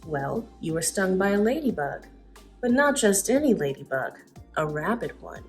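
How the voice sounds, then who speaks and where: A woman's voice: medium pitch, big pitch swings, normal speed, faint music behind. Woman reading as a matter-of-fact puzzled male doctor.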